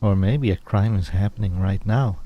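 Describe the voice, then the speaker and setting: A man voicing a calm, deductive traveler in a video game. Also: fairly low pitch, normal speed, muffled recording, faint music behind, natural voice. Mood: pensive. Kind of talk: English video game voice acting.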